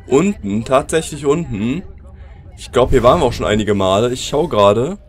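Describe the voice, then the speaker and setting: German gamer with a cold, chatty. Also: male voice, medium pitch, lively intonation, normal speed. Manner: chatty, addresses viewers directly. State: has a cold, sore throat. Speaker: let's play commentator. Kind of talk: casual gameplay commentary. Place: at home at his computer.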